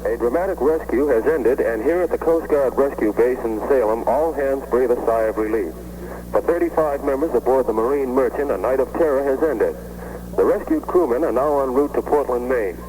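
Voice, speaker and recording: A man's voice: medium pitch, normal speed, warm tone, in a large hall, slight background noise, low-quality recording. A man giving an on-scene news report as a field reporter for vintage radio.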